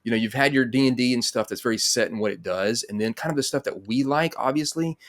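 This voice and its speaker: A man's voice: mid-range voice, fast. A man hosting a casual interview chat, rambling informally and thinking aloud as he goes. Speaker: podcast host.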